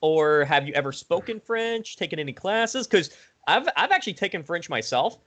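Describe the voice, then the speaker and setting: A man's voice: fairly high pitch, big pitch swings, moderate pace, fairly bright tone. A man hosting a casual interview, talking informally with a fan's enthusiasm.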